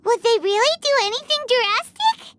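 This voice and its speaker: A woman's voice: extremely high pitch, expressive, moderate pace, fairly bright tone, a little hiss or hum. A woman voicing a tiny, perky, teasing fairy companion in a video game. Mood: skeptical.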